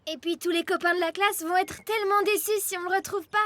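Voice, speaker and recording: Very high-pitched, spirited cartoon girl. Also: steady tone, fast talker, a bit bright, dry recording. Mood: worried. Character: spirited, caring, bossy little schoolgirl. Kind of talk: animated cartoon dialogue. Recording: music under the dialogue.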